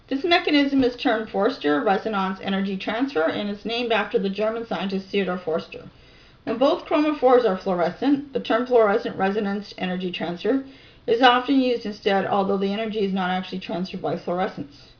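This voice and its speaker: A woman narrating a video, reading scientific text aloud with informal asides, halting over technical terms and correcting herself. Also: medium pitch. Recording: dull lo-fi sound.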